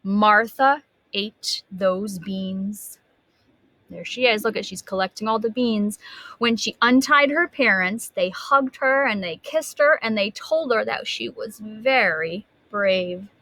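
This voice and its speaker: Woman, kindergarten teacher, expressive story read-aloud, slow pace, dry close sound. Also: mid-range voice, dry recording, poor audio. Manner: expressive, dramatic gasps and emphatic drawn-out words. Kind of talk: children's storybook read-aloud with questions to listeners.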